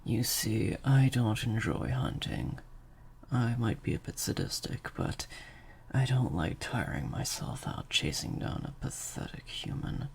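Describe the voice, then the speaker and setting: A man playing a sadistic vampire master in an improvised audio roleplay, menacing and teasing, in breathy half-whispers to a captive listener. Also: lowish voice, sing-song, measured pace, darkish tone, slight background noise, exaggerated voice. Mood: firm, condescending.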